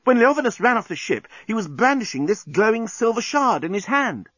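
An excitable alien reporter, played by a man, eagerly exclaiming in a high, very lively voice. It comes from a comic space audiobook with slightly dull sound. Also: brisk, slightly rough, no room sound, exaggerated voice. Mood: excited realization. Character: excitable male alien newspaper journalist.

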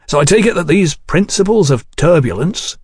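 A man's voice: a little high, animated, moderate pace, a little mellow, breathy edge, no echo, clean studio sound, pitched above the performer's own voice. Man as a sceptical, learned English scientist. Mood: wry, conceding.

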